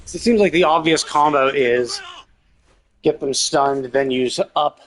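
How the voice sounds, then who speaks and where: A man's voice: on the high side, unhurried, fairly bright tone, slight background noise. A man doing casual live-stream commentary on a game, rambling analytically as he thinks aloud.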